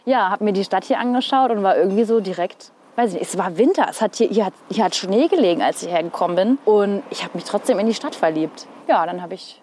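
A woman's voice: mid-range voice, animated, quick pace, few pauses, dark tone, a little hiss or hum. A young woman from northern Hesse, a TV weather presenter, chatting casually and thoughtfully in an outdoor interview, with many fillers. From northern Hesse, Germany.

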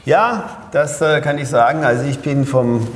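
A man's voice: mid-range voice, moderate pace, echoey room, big-room sound. A man with a Swabian accent explaining step by step as an interview guest, tagging his points with "ja?". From Pforzheim, Germany. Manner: explains step by step with frequent "ja?" tags. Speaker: guest speaker and coach.

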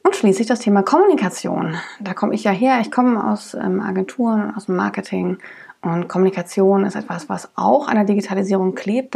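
Female podcast host with a cold, stuffy and raspy, rambling chatty delivery with frequent fillers. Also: medium pitch, warm tone. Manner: chatty, many ums and restarts. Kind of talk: solo podcast monologue.

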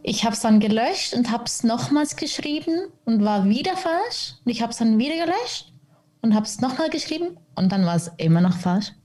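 A woman's voice: a little high, big pitch swings, moderate pace, darkish tone. A woman speaking openly and reflectively as an interview guest, with a self-mocking edge. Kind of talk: candid personal interview talk.

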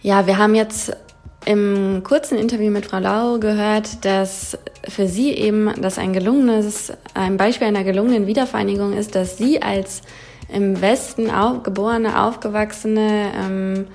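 Podcast host, woman, mid pitch, gravelly, earnest and reflective, faint music under her. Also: steady tone, normal speed, darkish tone. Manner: earnest, reflective, some fillers. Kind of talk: podcast interview and wrap-up.

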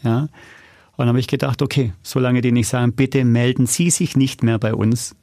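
Casual male guest with a creaky voice. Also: medium pitch, steady tone, moderate pace, mellow, no room sound. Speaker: interview guest, audio drama producer. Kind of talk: casual interview conversation, anecdotal.

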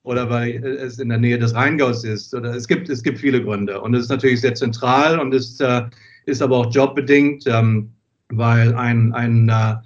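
A man raised in Germany and born in England speaks as an interview guest in a medium-pitched voice. He feels his way through answers, hesitating and repeating words. The sound is a little dull. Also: steady tone. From born in England, grew up in Wiesbaden, Germany. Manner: hesitant, pausing and repeating words while thinking. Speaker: podcast guest.

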